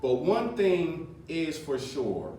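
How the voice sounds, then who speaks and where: A man's voice: a little high, big pitch swings, slow, warm tone. A man hosting a podcast in a casual political chat. He talks loosely, chuckles often, and uses many fillers and restarts. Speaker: podcast host. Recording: very echoey room, mic far off, faint noise.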